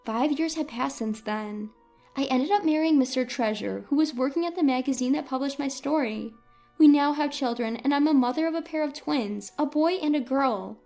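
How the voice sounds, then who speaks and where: A woman's voice: sits high, moderate pace, gravelly, darkish tone, soft background music. A woman reading a scripted first-person story as a dramatic narrator.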